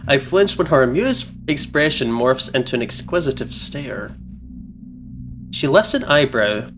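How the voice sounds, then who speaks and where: A man's voice: medium pitch, lively intonation, unhurried, noisy background. A man doing a casual game playthrough, reading narration aloud and reacting with amusement. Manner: giggly, amused.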